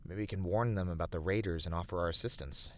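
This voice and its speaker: Man acting a low-voiced, steady fantasy adventurer. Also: a little hiss or hum, natural voice. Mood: thoughtful, deliberative. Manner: measured, strategic, encouraging, slightly formal wording. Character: steady, righteous male fantasy adventurer and trusted companion. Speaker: voice actor in a roleplay. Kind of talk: scripted ASMR fantasy roleplay addressed to the listener.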